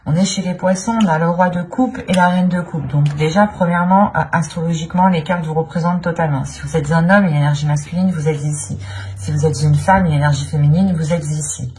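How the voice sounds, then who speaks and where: A woman's voice: low-pitched, fairly even intonation, fairly quick, a little mellow, a little hiss or hum. A woman giving an improvised tarot reading as a channel host, speaking in a searching way and often repeating her phrases.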